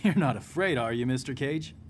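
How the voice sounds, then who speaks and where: Cocky young male fighter for a game, played by a man: medium pitch, smart-alecky bite. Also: lively intonation, brisk, a little hiss or hum, close to an everyday voice. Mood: taunting. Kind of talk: video game cutscene acting.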